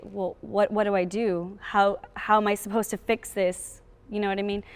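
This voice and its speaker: A woman's voice: medium pitch, clean tone. A teenage young woman, a student actor, explaining things casually in an interview. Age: teens.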